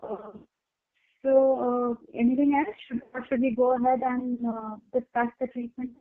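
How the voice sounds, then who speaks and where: A woman role-playing a doctor in a practice exam, explaining advice haltingly with many fillers. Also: a little high, little pitch movement. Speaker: doctor candidate in a role-play. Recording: tinny phone line.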